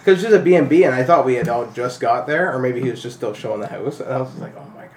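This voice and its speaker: A man's voice: mid-range voice, fast talker. A man in his twenties swaps casual stories in a group. His delivery is jokey and peppered with profanity. The room is echoey, with some noise behind him. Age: 20s. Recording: roomy echo, some background noise.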